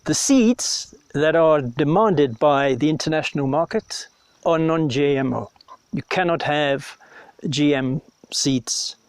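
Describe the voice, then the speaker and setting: A man from Zimbabwe, a farm technical advisor, talking in a documentary interview, relaxed and pausing often with ums. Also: medium pitch, no room sound. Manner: relaxed, hesitant with many ums.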